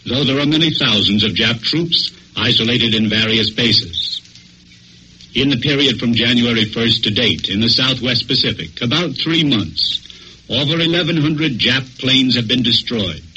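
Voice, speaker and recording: A man's voice: mid-range voice, normal speed, pushed and bright. An American man, a military officer, reading a formal scripted war review in 1940s radio news style. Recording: faintly noisy 1940s radio broadcast.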